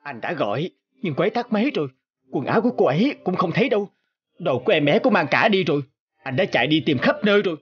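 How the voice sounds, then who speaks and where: A man playing an earnest, accommodating husband in a cartoon, with a measured manner. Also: a little high, big pitch swings, highs cut off. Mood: worried. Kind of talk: Vietnamese cartoon voice acting. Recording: music behind the voice.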